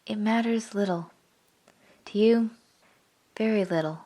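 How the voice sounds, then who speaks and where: An actress playing a sorrowful yet resolute young woman in a classic radio drama, speaking gravely and deliberately. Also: medium pitch, warm tone, close to an everyday voice. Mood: sad, reproachful.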